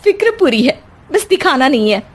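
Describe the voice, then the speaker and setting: A very high, swooping voice for a cartoon young wife played by a woman. She grumbles and protests with whiny indignation. Every complaint comes out melodramatic and feisty. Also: big pitch swings, normal speed, soft background music. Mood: grumbling.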